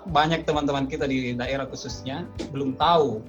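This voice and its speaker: A man hosting a casual vlog and online interview, friendly and chatty, often pausing with hesitations. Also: mid-range voice, fairly even intonation. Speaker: host. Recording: dull lo-fi sound with music behind.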